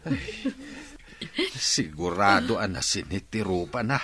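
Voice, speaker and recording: Worried father, low animated voice. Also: unhurried, slight background noise, heavily acted. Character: worried, brooding father of an injured daughter. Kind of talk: melodramatic radio drama acting.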